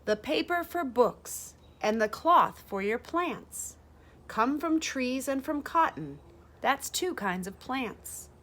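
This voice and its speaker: Woman in her thirties, high-pitched voice, unhurried pace, children's read-aloud, expressive phrasing. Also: slight background noise. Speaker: educator reading a picture book aloud. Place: bright sunroom with large windows, indoors.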